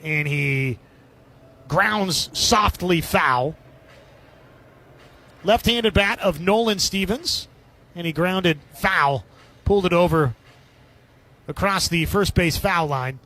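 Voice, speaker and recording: A man's voice: high voice, takes it slowly, long pauses, bright, forceful tone. A man doing live radio play-by-play commentary of a baseball game.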